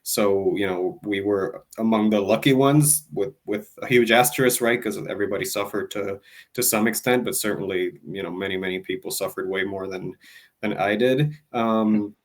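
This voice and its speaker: A man's voice: lowish voice, normal speed. A man from Puerto Rico answering interview questions earnestly, with frequent restarts and fillers. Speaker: guest, advocacy group president.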